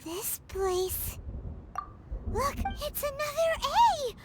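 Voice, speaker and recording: Squeaky, very high-pitched little fairy companion. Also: female voice, sing-song, very slow, noisy background, exaggerated voice. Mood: startled, curious. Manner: exclamatory, questioning. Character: small childlike floating fairy companion, excitable and naive. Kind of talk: anime-style video game voice acting. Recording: loud background music.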